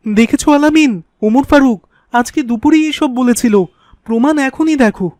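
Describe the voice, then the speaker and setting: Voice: sits high, moderate pace, darkish tone, dry recording, natural voice. A performer's earnest cartoon child, dry sound. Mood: assured. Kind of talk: cartoon voice acting.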